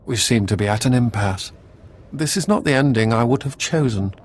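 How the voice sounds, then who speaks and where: A composed sci-fi game spymaster, played by a man, with a mid-pitched voice. He is formal, dutiful and courteous, measuring each phrase like an officer under orders. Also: normal speed, a little hiss or hum, close to an everyday voice. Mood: resigned resolve.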